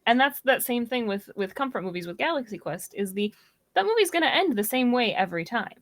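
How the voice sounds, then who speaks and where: A woman's voice: a little high, big pitch swings, a little fast, dry recording. A woman in a casual, conversational discussion as part of a podcast panel. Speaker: podcast guest or co-host.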